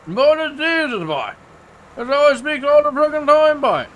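A man playing a touchy, rough-spoken rural man, blustering and swearing and calling everyone "boy". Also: very high voice, expressive, moderate pace, fairly bright tone, rough recording. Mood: defensive, indignant. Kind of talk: comedy sketch acting.

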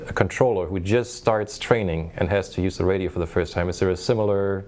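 A middle-aged man with a fairly low voice asks conversational questions as a panel host. There is a faint rumble in the meeting room behind him. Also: fairly even intonation, fairly quick, darkish tone. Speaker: host, interviewer. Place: small meeting room, around a conference table.

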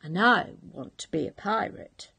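A woman's voice: fairly low pitch, animated, a little slow, creaky, bright, forceful tone, breathy edge, exaggerated voice. A woman voicing an eager, polite little boy pig from a storybook who wants to become a pirate. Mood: earnest. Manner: earnest, polite, insistent.

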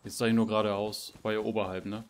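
A man's voice: fairly low pitch, flat delivery, quick pace. A man chatting casually while playing a survival game, teasing himself and his teammate with frequent tag questions. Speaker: gamer streamer. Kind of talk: casual gameplay chat.

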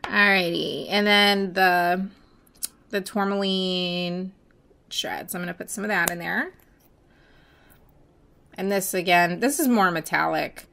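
A woman chatting casually while mixing glitter, her voice going hoarse as she loses it, with many pauses and fillers. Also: on the low side, sharp tone. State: losing her voice, hiccups. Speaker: nail art seller mixing glitter. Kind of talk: casual crafting voice-over.

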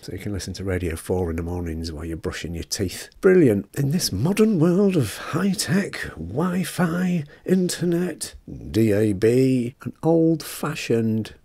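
A British man giving a casual, conversational product review in an informal, mellow voice. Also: mid-range voice, sing-song, unhurried, few pauses, breathy edge, no echo.

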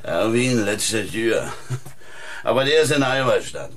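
Surly, low-voiced man. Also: sing-song, measured pace, a bit bright, slight background noise. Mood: grumpy, evasive. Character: surly, defensive man roused from sleep. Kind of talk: German radio crime drama acting.

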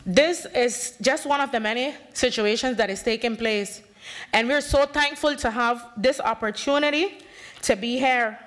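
A woman's voice: fairly high pitch, normal speed, fairly bright tone. A woman in her thirties from the Bay Islands of Honduras, with a Bay Islands English accent, giving an impassioned, emphatic talk at a conference podium and acting out conversations as she speaks. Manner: passionate, emphatic, gestures widely, acts out dialogue. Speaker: panel speaker, community activist. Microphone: podium microphone.

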